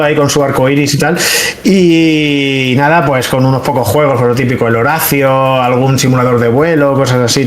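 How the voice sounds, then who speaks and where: Chatty Spanish man in an echoey room. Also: on the high side. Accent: Spain. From Spain. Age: 40s. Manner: colloquial, chatty. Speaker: interview guest, video game journalist. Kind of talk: casual interview, anecdotal chat. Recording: roomy, echoing sound.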